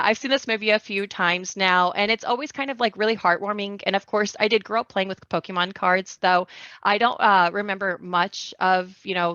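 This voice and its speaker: A woman chats casually as a podcast guest. Her voice is bright and sits at a middling pitch, with a playful, self-deprecating manner. The audio is dry and a little dull. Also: no echo. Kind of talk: casual chat.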